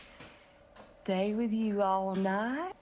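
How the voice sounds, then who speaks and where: A woman's voice: mid-range voice, drawn-out words, a little mellow, slight background noise. A woman playing a flirty, ditzy, put-upon bakery bookkeeper in a comedic audio drama, coy in manner.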